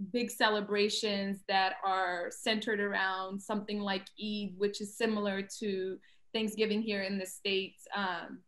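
A woman from Somalia and Kenya answers a panel question in a reflective, unhurried way from her home. Her pitch stays level and even with little rise and fall, sitting in the middle range. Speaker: cookbook author. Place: home room at night. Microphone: video call.

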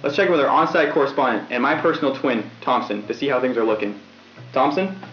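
A man's voice: medium pitch, fast talker, soft background music, close to an everyday voice. A man as an earnest young weatherman, roomy sound. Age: young adult. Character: earnest, matter-of-fact young male school weatherman. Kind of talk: scripted weather report. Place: indoor studio set. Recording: roomy, dull VHS broadcast sound.

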